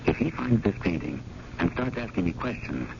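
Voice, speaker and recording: A man's voice: fairly low pitch, big pitch swings, moderate pace, creaky. A man playing a harried, wry, fretful museum curator in a radio drama, politely exasperated. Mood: weary, wry. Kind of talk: 1980 radio drama acting with first-person narration. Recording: dull, noisy old radio broadcast.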